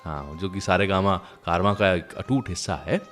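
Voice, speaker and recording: A man's voice: low voice, normal speed, a bit bright. A man hosting a music show, telling anecdotes in a chatty, conversational way straight to his listeners. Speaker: radio show host. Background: music playing behind the voice.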